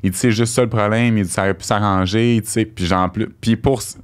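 A young man with a Québécois accent speaks low and quick, telling a story on a podcast. He jumps into the lines of the people in it as he goes, close on a studio microphone. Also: smooth. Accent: Québécois French. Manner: animated storyteller, acts out quoted dialogue. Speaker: podcast guest. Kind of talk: casual storytelling. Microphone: studio mic on boom arm.

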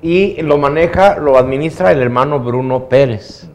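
A middle-aged man chatting casually and a little haltingly as a news co-anchor in a studio. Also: mid-range voice, darkish tone. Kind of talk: casual on-air chat.

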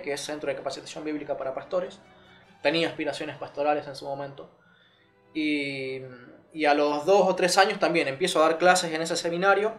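A man, earnestly recounting his own story as an interview guest, with many fillers and restarts. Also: on the high side. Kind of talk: personal testimony in an interview. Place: living room with curtains, seated in armchair. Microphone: lapel mic.

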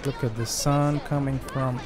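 A man's voice: mid-range voice, crowd noise. A man whose first language is Portuguese, casually commenting while playing a game and grumbling at it. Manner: relaxed, chatty, quick to grumble at the game. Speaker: gameplay streamer. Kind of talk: casual let's-play commentary. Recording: game music playing behind.

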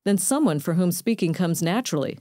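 Low, mellow-voiced woman reporter. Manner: measured, even reading. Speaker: news reporter. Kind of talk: scripted news narration.

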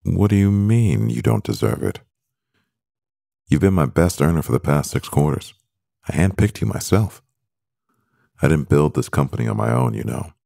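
A man acting a composed, doting CEO boyfriend in an ASMR roleplay, speaking in a measured, gravelly voice. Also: lively intonation, warm tone, no echo, clean studio sound, heavily acted. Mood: composed, businesslike. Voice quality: very deep, gravelly. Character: powerful male CEO boyfriend, composed, doting, gently teasing.

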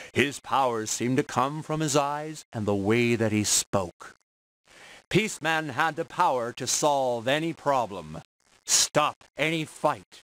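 Slow-paced male children's storybook narrator. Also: medium pitch, a bit bright. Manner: measured read-aloud delivery for young children.

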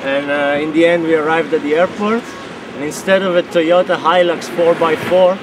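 A man's voice: fairly high pitch, fairly even intonation, moderate pace, noisy background. A man on an expedition crew, talking firmly and emphatically in a documentary. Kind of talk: documentary account and on-location talk. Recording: distant mic under music.

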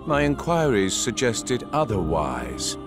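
Middle-aged game schemer, calm, calculating, very measured pace, music underneath. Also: male voice, medium pitch, exaggerated voice. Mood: probing. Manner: measured, deliberate, probing. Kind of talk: cinematic video game voice acting.

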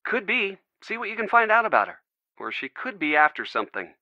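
An actor playing a caring, loyal young American boyfriend in a video game, earnest and gently wry. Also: male voice, high voice, sing-song, a little fast, no echo, lo-fi sound, heavily acted. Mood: concerned. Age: young adult. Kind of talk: video game dialogue, phone conversation.

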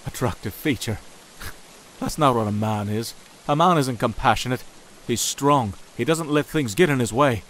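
A man playing a defensive demon thug, blunt and halting, in an audio comic dub. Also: mid-range voice, fairly bright tone, a little hiss or hum, close to an everyday voice, rough recording. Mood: cold. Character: gruff, defensive male demon thug, famous ex-fighter. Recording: background music. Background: music.

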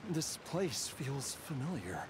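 A man voicing a troubled young man who is losing his memory, speaking in anxious, halting bursts with sighs and whispers. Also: mid-range voice, very animated, background noise. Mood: haunted. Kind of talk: video game voice acting. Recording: game audio with soft music.

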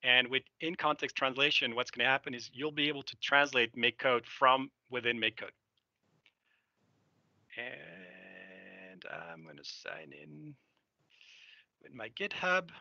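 A middle-aged Belgian man whose first language is French talks through a live product demo, casual and full of fillers. His voice is very bright and forceful. The headset sound is dry and slightly dull. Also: mid-range voice, lively intonation, a little slow, vocal fry, no room sound. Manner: casual and enthusiastic, thinking aloud with many fillers. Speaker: software developer giving a demo. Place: indoor room, seen on webcam.

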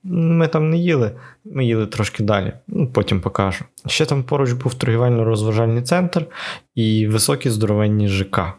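A man's voice: medium pitch, lively intonation, fairly quick, a little mellow. A man narrating his own travel vlog in a casual voice-over, with wry, joking asides. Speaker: travel vlogger. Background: music.

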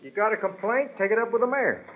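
Sarcastic counterman, very high swooping voice, muffled old radio play. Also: sing-song, fast talker. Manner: wisecracking, brash. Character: sarcastic, brash male lunch wagon cook. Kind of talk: 1950s radio acting. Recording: muffled, slightly noisy vintage radio.

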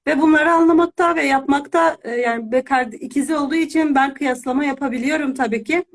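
A woman's voice: high voice, fast. A woman speaking as a mother, giving a conversational testimonial in an interview.

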